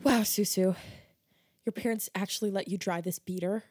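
A mid-pitched, incredulous voice with music clearly behind it. It is a wisecracking young woman on the run played by a woman in a naturalistic audio drama. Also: steady tone, normal speed, darkish tone, no room sound, clean studio sound, natural voice. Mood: grumbling. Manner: wry, incredulous.

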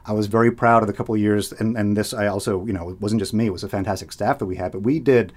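A man's voice: fairly low pitch, steady tone, fast, a little mellow. A middle-aged man from the United States, talking casually in an interview, rambling with restarts, fillers and frequent laughs. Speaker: radio host, interviewee.

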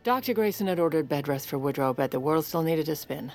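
A woman playing a wry, composed, ambitious first lady in a comedic audio drama, speaking in a polished deadpan. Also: low voice, a little fast, faint music behind. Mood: dryly composed.

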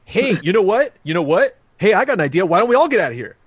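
Man as washed-up snowboarder, stammering excuses, animated delivery, dead dry room. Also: sits high, fast talker, slightly rough, dry recording, exaggerated voice. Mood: defensive. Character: washed-up young male snowboarder, defensive and rambling. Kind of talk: improvised tabletop roleplay.

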